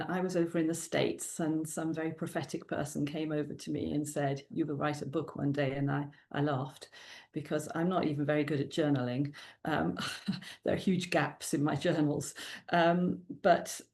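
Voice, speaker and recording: A woman's voice: sits low, steady tone, normal speed, gravelly. A middle-aged woman from South Wales with a Welsh accent, a native Welsh speaker, chatting as a guest in an interview, with frequent ums and laughs. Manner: warm, chatty, laughs often, many ums. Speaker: guest author. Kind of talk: casual interview chat.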